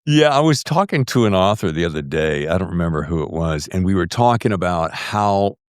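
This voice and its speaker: A man's voice: deep voice, animated, brisk, no room sound, clean studio sound. A man with an American accent hosting a casual interview, chatty and jokey, acting out remarks as he quotes them. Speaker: podcast host.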